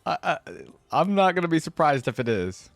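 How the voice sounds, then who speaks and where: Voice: mid-range voice, very animated, fairly quick, faint music behind. A reaction host giving casual commentary, amused and often echoing lines back.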